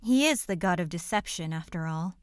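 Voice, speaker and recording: A blunt, doubting traveler, played by a woman, in a fantasy audio drama. Her voice is slightly low, very clear and smooth, with a dry studio sound. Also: expressive, brisk, no room sound, close to an everyday voice. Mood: doubtful. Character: female traveling companion, blunt and skeptical.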